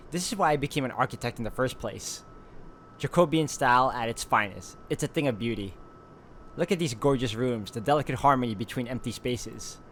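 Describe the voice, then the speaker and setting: A man's voice: mid-range voice, a bit bright, slight background noise. A man hosting a let's play, reading visual novel narration aloud and dropping in casual asides.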